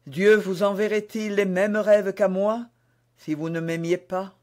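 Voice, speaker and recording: A man's voice: sits high, steady tone, unhurried, a little mellow. A man reading the part of a passionate, melancholy young duke in love, ardent and pleading, in a historical romance audiobook. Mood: exultant, loving.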